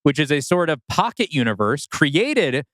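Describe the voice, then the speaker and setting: A man's voice: on the high side, very animated, moderate pace, a bit bright, no echo, clean studio sound. A man co-hosting a podcast in casual chat, with a wry, bantering manner. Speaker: podcast co-host.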